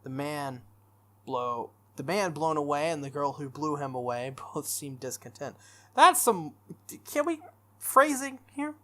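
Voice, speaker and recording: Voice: medium pitch, animated, unhurried, fairly bright tone. A playthrough host reading visual novel text aloud, with enthusiastic, gushing asides. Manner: enthusiastic, gushing asides between read narration. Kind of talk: reading game text aloud with excited commentary. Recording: background game music. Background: game music.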